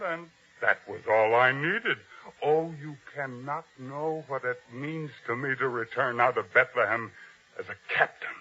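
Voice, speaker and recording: An actor playing a proud, ambitious army captain, boastful and self-important, in a 1950s radio drama style. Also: male voice, mid-range voice, pushed and bright, heavily acted. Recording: dull old radio broadcast recording.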